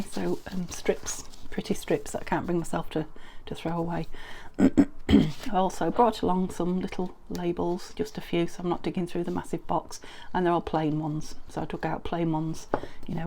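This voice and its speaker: A middle-aged woman from the UK with a croaky voice from a summer cold, chatting in a rambling, friendly way through a craft tutorial with many ums and restarts. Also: on the low side, flat delivery, normal speed, dark tone, slight background noise. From United Kingdom. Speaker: crafting vlogger.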